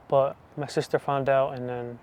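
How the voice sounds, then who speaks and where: A man in his twenties giving a personal faith testimony, talking softly and hesitantly with many restarts. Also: mid-range voice, level tone, moderate pace. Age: 20s. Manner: soft, hesitant, full of restarts and fillers. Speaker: channel host giving testimony.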